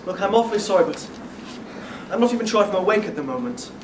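A man's voice: sits high, lively intonation, fast talker. A young man playing a bashful, earnest fairy-tale prince in a stage pantomime, projecting hesitantly. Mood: bashful, apologetic. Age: young adult. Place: theatre stage before an audience. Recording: echoey theatre, distant mic, room noise.

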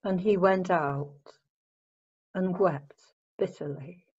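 A woman narrates a scripture story from a script. Her voice is on the low side and she reads very slowly. The sound is slightly muffled and lo-fi. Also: darkish tone, breathy edge. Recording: muffled lo-fi home recording.

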